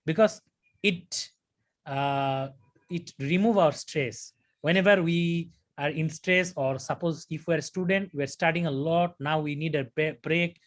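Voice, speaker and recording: Brief: young Bangladeshi man, exam candidate, halting, fairly high pitch. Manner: hesitant, many fillers and restarts. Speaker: test candidate. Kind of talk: mock speaking exam answers.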